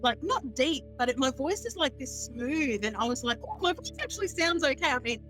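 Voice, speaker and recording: A woman's voice: high voice, very animated, fairly quick, a bit bright, faint music behind. A chatty, bubbly woman, a guest astrologer on a podcast, who laughs often. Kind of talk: casual podcast chat.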